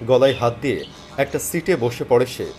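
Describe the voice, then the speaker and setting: A man's voice: mid-range voice, very animated, moderate pace, a little mellow. A man giving dramatic, scripted first-person narration of a story. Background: background music.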